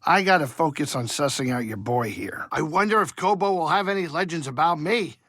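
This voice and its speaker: A man voicing a grumpy, fretful but soft-hearted older alien pilot and cook in a video game, with a colloquial, fussy, rambling delivery. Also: fairly high pitch, expressive, a little fast, no echo, clean studio sound. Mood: grumbling, fussy. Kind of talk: video game character dialogue.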